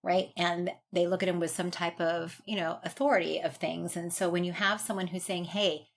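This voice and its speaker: A woman's voice: fairly low pitch, fairly even intonation, moderate pace. An American woman speaking as a guest doctor in an interview, explaining her views in an informal, conversational way. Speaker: guest doctor, urogynecologist and researcher.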